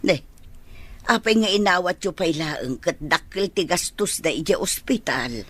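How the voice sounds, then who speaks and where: An actress with a Filipino Ilocano accent playing a concerned, supportive middle-aged mother with a husky voice in a radio drama. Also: on the low side, expressive, unhurried, fairly bright tone. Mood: concerned, probing.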